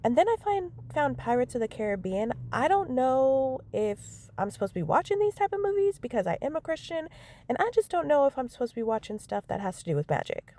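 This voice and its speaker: A woman in her thirties chatting casually to her phone camera from inside a car. Her nose is stuffy and she talks in an animated, self-mocking way. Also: fairly high pitch. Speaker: vlogger. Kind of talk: casual vlog chat.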